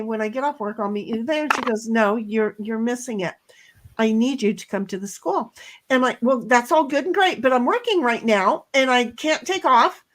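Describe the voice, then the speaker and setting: Loud, blunt middle-aged woman storyteller. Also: a little high. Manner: animated, blunt storyteller with bursts of loud laughter and swearing. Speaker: co-host. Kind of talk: casual storytelling chat.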